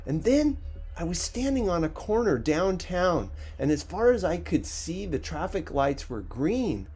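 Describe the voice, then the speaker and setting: A man narrating a first-person story as an audiobook reader, with a measured, expressive dramatic delivery. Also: a little high, slightly rough.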